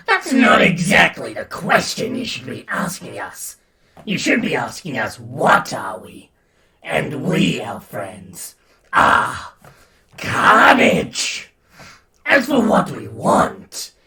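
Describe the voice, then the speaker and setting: A man voicing a cruel red alien symbiote villain, snarling and taunting with drawn-out theatrical menace. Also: a little high, huge pitch swings, vocal fry, a crowd in the background, pitched above the performer's own voice, full character voice. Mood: sinister gloating.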